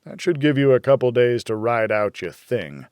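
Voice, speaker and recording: A plain-spoken, caring buddy from a noir audiobook, acted by a male reader. He is blunt and folksy, with a middle-pitched voice. The recording is dry. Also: expressive, moderate pace, darkish tone, smooth, dry recording, natural voice. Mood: concerned, firm. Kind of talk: hardboiled audiobook character dialogue.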